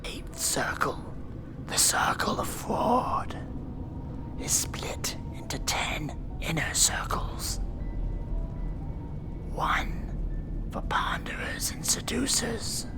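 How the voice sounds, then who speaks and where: A performer whispering as a dry, weary demon judge of hell who instructs a trainee in a measured, deadpan horror ASMR role-play. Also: medium pitch, exaggerated voice. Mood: solemn, dry. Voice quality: breathy creaky whisper. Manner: slow, measured, deliberate, deadpan. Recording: music behind close whispered voice. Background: music.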